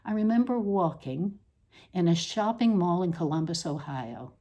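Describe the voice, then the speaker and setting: A woman narrates a scripted literary essay in a lowish, warm and mellow voice. Her intonation moves widely and animatedly, while her phrasing stays measured and deliberate, leaning on key words. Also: animated. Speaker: essay reader.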